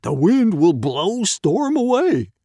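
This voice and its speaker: An excitable, bossy farm dog from a children's storybook, acted out by a narrator. The voice jumps up high and loud, barking out each phrase with emphasis and total confidence. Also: slow, no echo, studio quality. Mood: confident.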